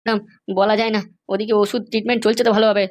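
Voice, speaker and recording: A woman's voice: a little high, flat delivery, very fast, a little mellow, natural voice. A woman voicing an anxious, obedient accomplice in a comedy cartoon, speaking in a worried and deferential way. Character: anxious, obedient accomplice serving a scheming madam.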